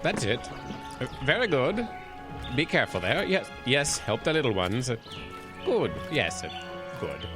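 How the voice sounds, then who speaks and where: A man's voice: mid-range voice, big pitch swings, measured pace, long pauses. Pompous old king type, super formal n creepy polite, voice goes all over the place, music under it. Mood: unctuous politeness. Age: older. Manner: grandly formal, courteous yet menacing, theatrical. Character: pompous eccentric old man, self-crowned island king. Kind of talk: audio drama acting.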